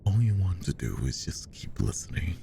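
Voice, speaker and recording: Man acting a very deep, possessive magician hypnotist. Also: big pitch swings, exaggerated voice. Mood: soothing, commanding. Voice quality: soft, very deep, gravelly. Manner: slow, hushed, coaxing, often slipping into whispers. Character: possessive, smooth-talking male magician hypnotist, menacingly tender. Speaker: voice actor. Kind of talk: roleplay ASMR.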